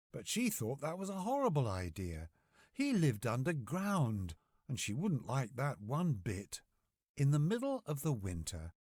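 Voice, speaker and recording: A man narrating a children's bedtime story from a script, with deliberate, gently expressive phrasing. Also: fairly low pitch, dry recording, studio quality.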